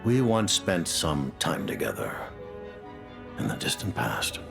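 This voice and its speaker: An actor playing a composed, courteous but cold male leader in a video game, speaking in a measured, formal way. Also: rumbling low, sing-song, gravelly, over music, full character voice. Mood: grave, commanding. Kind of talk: video game cutscene acting.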